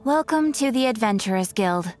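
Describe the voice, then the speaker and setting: An actress playing a polite, composed guild receptionist with a formal manner in an anime-style video game. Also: female voice, a little high, animated, moderate pace, darkish tone, soft background music, close to an everyday voice. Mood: composed, helpful. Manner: formal, courteous.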